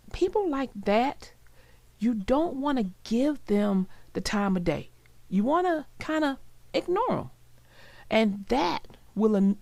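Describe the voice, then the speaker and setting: Expressive woman storyteller, unhurried. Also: medium pitch, gravelly, no echo. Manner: conversational, addresses young listeners directly. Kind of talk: children's read-aloud with chatty commentary.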